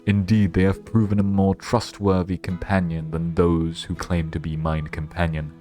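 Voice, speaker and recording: A man's voice: sits low, level tone, mellow, soft background music, close to an everyday voice. A young man hamming up a weary knight. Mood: solemn, weary. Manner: slow grandiose mock-medieval delivery. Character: weary solemn wandering knight, male, battle-scarred.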